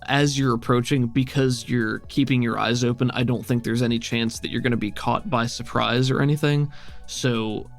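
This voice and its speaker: A man's voice: mid-range voice, fairly even intonation, normal speed. A man running a tabletop roleplaying game as game master, explaining things in a conversational, accommodating way. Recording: music playing behind the voice. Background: music.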